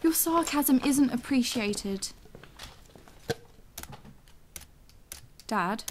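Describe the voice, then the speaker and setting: A woman's voice: high voice, expressive, takes it slowly, background noise. A young woman acting an anxious, determined daughter in a science fiction audio drama. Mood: worried, irritated.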